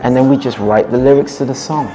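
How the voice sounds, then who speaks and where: A man from the UK, one half of a husband-and-wife music duo, talking through how they write songs in a measured, explanatory way. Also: medium pitch, mellow. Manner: measured, explanatory, slows down for emphasis. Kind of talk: relaxed interview talk.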